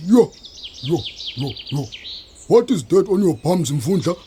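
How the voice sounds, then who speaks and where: An actor playing a proud, blustering lion in a comic audio play for children, quick to defend himself and roar. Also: male voice, a little high, sing-song, warm tone, slight background noise. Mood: curious, swaggering. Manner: blustering, defensive, breaks into roars. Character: Ngonyama, proud male lion hiding his love of ballet. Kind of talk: musical comedy audio theatre for children.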